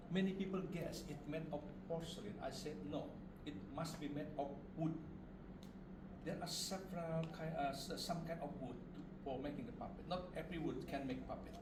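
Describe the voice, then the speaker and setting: A man with an Indonesian accent explains his craft to visitors in halting, simple English. His voice sits a little high. He is recorded at some distance from the mic in an echoey indoor studio. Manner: halting, simple non-fluent English in short phrases. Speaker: puppet master and craftsman. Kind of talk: informal live demonstration talk to visitors. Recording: roomy, distant mic, loud background noise.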